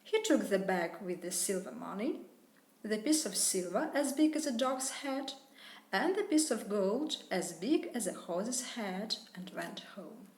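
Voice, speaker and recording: A woman reads a children's fairy tale aloud for English learners. She reads slowly and clearly, her pitch rising and falling in a lively way. A roomy echo hangs around her voice. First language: Russian. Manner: slow, clear storybook reading for learners. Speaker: English tutor.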